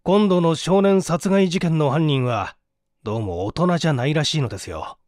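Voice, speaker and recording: A man playing a matter-of-fact police detective in a read-aloud story, explaining things in a measured way. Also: fairly high pitch, a little mellow, dry recording, studio quality, close to an everyday voice. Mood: grave.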